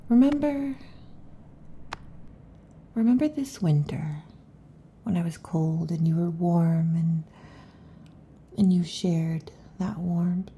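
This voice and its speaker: A woman playing a restless, possessive lamia girlfriend in an intimate ASMR roleplay, with a breathy, husky half-whisper and halting, teasing delivery. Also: on the low side, dark tone, exaggerated voice. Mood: coaxing, needy. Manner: halting, teasing, predatory intensity. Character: restless, possessive female lamia monster girlfriend next door.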